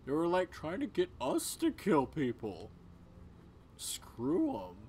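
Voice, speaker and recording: A man reading video game dialogue aloud in a casual, expressive way. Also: sits high, slow, a little hiss or hum. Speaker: game player voicing characters.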